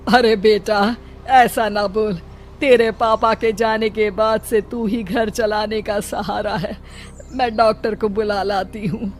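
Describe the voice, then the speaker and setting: A woman voicing a gentle, plaintive elderly village mother-in-law in a Hindi cartoon story. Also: mid-range voice, level tone, slow pace, faint music behind, lightly acted. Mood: worried.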